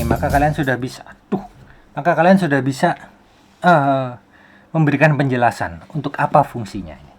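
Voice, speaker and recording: Man explaining in a casual tutorial. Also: fairly high pitch. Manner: animated explaining with rhetorical questions and repeated phrases. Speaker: YouTube host, film soundman.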